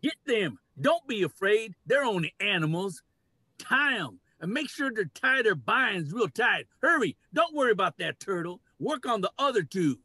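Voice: medium pitch, big pitch swings, normal speed, bright, forceful tone, slightly rough, no echo, full character voice. An actor playing a harsh human hunter leader in a play reading, barking orders. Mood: aggressive.

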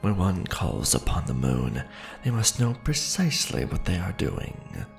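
Man acting as a courtly ancient vampire lord, extremely low voice, slow grandiose delivery, ambitious, devoted, ASMR roleplay. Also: big pitch swings, faint music behind, heavily acted. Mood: commanding, cautioning. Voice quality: deep, gravelly. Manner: formal, grandiose, deliberate phrasing with pauses.

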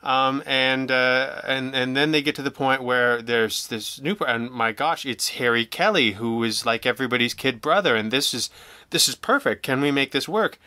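A man talking casually as an interview guest, telling stories in an animated, jokey, self-deprecating way and acting out remarks he quotes. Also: mid-range voice, moderate pace, few pauses, no echo. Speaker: guest, audio drama writer and producer.

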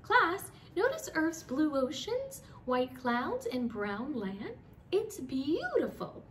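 Storybook teacher, played by a woman reader: quirky, excitable, high swooping voice, addressing children. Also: takes it slowly, far from the mic, a little hiss or hum, exaggerated voice. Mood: admiring. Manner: bright, instructive exclaiming to children. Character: excitable quirky woman schoolteacher leading a class.

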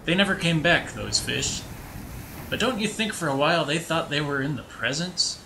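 A man's voice: fairly high pitch, expressive, moderate pace, vocal fry, background noise, pitched above the performer's own voice. A man playing a weathered, brooding old lighthouse keeper who muses wistfully in a folksy way, in an amateur audio drama. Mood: awed, hushed. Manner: folksy, musing delivery.

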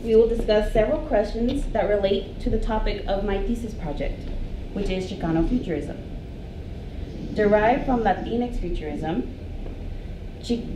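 A woman's voice: medium pitch, background noise. Young woman, curator, moderator, earnest, echoey hall, distant mic. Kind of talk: panel moderation. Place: dark theater stage.

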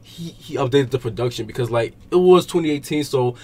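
A man's voice: mid-range voice, brisk, dark tone, husky. A young man hosting a music reaction, talking casually in an exclamatory, repetitive, slangy way. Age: young adult. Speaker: music reaction video host. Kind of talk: casual excited album reaction. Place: small home room with acoustic foam panels. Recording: music playing in the background.

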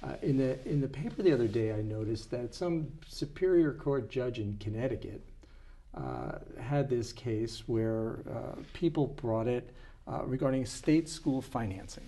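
An older man hosting a studio interview, speaking slowly and haltingly with many fillers. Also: medium pitch, animated, warm tone. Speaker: talk show host, moderator. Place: small studio with dark curtains. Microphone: lapel mic.

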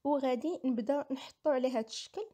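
A Moroccan woman narrates a home recipe in a casual, explanatory way. Her voice is high and soft-edged, a little dark in tone. She talks quickly and often repeats herself as she goes. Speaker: home cooking vlogger.

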